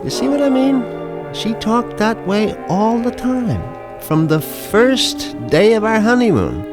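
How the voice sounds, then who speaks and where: An actor playing a glib, cynical husband who narrates a radio mystery in a wry, conversational, slightly stammering way. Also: male voice, high voice, sing-song, takes it slowly, over loud music, exaggerated voice. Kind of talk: radio mystery drama acting.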